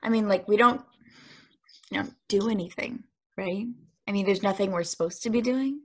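An actress voicing a young woman who is uneasy and searching, speaking with hesitation and filler words in a husky voice on a video call table read. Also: mid-range voice, normal speed, lightly acted.

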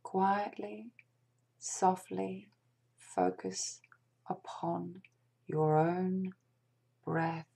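A woman guides a meditation in a soft, breathy near-whisper with a creaky edge. Her delivery is extremely slow and gentle, leaving long pauses between short phrases. The tone is soothing and mellow. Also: fairly low pitch, fairly even intonation. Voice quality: hushed, breathy, partly whispered.

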